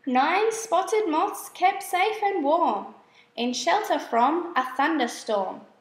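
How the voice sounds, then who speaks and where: A woman reading children's verse aloud as a storyteller, in a measured, expressive way. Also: extremely high pitch, roomy sound. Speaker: storybook reader. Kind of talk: scripted children's verse narration.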